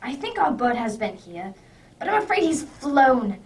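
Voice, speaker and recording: A commanding gentleman detective from a radio play, acted by a woman, heard a little off the mic. The voice is high and very varied in pitch, with grave, decisive deductions. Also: sing-song, moderate pace, a little hiss or hum. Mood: grave, intent. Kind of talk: radio drama acting. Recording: distant microphone.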